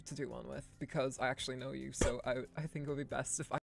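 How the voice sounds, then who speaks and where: A woman's voice: rumbling low, little pitch movement, fast, creaky. A woman from Ireland chatting casually in an earlier stream clip that is played back. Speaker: streamer in her earlier debut video.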